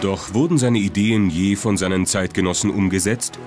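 A man narrates a documentary in with a low voice. His delivery is scripted, measured and dramatic, and music plays clearly behind him. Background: prominent background music.

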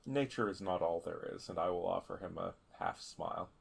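Male game player, deep creaky voice, measured in-character delivery. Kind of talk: in-character roleplay.

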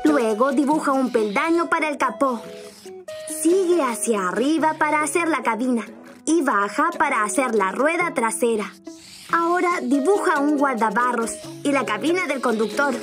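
A young rabbit boy in a children's drawing cartoon. He explains things in a friendly, step-by-step way, with a high voice over clear background music. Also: expressive, moderate pace. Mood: patient, instructive. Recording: music underneath.

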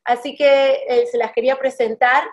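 Bubbly high-voiced woman host. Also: steady tone. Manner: bubbly, upbeat, quick. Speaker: wedding planner host. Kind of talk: promotional video call chat. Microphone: video call webcam.

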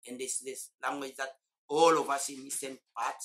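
A man in his forties from eastern Congo speaks in a bright, forward voice at a middle pitch. He explains things patiently in an oral history interview and pauses on fillers as he thinks. He is recorded indoors on a lapel mic. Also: level tone. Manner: thoughtful, explanatory, with hesitant fillers. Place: indoor room.

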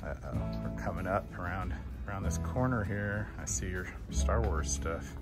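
Man, low-ish voice, upbeat handheld vlog, chuckling. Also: normal speed. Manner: upbeat, chuckling between remarks. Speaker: vlogger filming a store tour. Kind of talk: casual chat while walking. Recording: music playing loud in the store.

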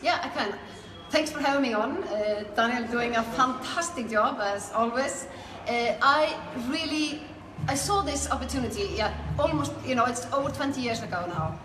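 A woman's voice: fairly high pitch, animated, normal speed. A middle-aged woman from Iceland with an Icelandic accent, telling their own story conversationally as an interview guest. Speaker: network marketer being interviewed. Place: small indoor room. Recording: echoey room, clear background noise.

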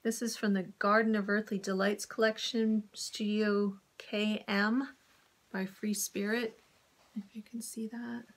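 A woman with a husky, gravelly voice that is worn and crackly from a cold. She talks slowly and evenly, with little rise and fall, and keeps slipping into soft whispers. It has the feel of a casual chat recorded in a home sewing studio. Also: mid-range voice, level tone. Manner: soft-spoken, drifts into whispers when admiring fabric. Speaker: sewing vlogger. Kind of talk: casual fabric haul chat.

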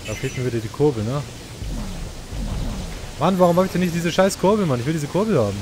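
A man's voice: a little high, lively intonation, quick pace. A man giving casual, sarcastic gameplay commentary, grumbling and talking half to himself. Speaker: let's play host. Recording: clear game noise behind the voice.

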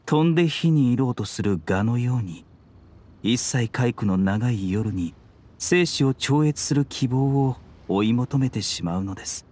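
Man acting a composed, lively-toned, idealistic young physician. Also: mid-range voice, slight background noise, lightly acted. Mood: grave, reflective. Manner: polite, measured, philosophical speech. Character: serene, idealistic young male physician, quietly resolute. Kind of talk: scripted game dialogue.

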